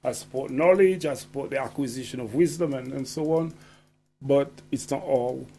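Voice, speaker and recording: A man's voice: medium pitch, moderate pace, vocal fry, dark tone. A man chatting casually as a guest on the radio, often adding tag phrases. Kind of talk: casual radio conversation with performed dub-poetry libation.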